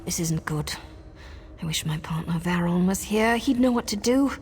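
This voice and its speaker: A woman's voice: fairly low pitch, expressive, moderate pace, a little mellow, long reverb tail, lightly acted. An actress voicing a wry, roguish adventurer woman with a sordid past in a video game, sly, teasing and conversational. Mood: worried.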